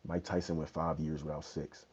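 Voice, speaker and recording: A young man with an extremely low, dark and husky voice chats casually on a livestream, loose and slangy, tossing in "you know what I'm saying" again and again. He talks into a wired earbud mic in a small bedroom, and the phone audio sounds dull and lo-fi. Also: flat delivery, normal speed. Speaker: fitness livestream host. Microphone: wired earbud mic, phone held low.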